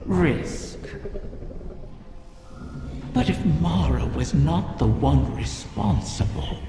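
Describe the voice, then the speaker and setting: A performer voicing an ancient, cunning female dragon that grants wishes, speaking with slow, measured menace in a layered, otherworldly voice for a game cutscene. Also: mid-range voice, vocal fry, background noise, heavily acted. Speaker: video game character. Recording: echoing cutscene with loud score.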